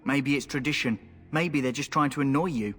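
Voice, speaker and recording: A cocky rogue needling his companions in a mid-pitched voice. A long reverb trails his lines in the game audio. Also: brisk, fairly bright tone, slightly rough, faint music behind. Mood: sardonic. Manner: mocking, sardonic. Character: cocky male rogue recruit.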